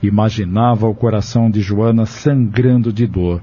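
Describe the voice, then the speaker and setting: A man's voice: lowish voice, normal speed, mellow, breathy edge. A man narrating a dramatic audio novel from a script in a solemn, literary manner. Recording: dull lo-fi audio with music behind. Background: music.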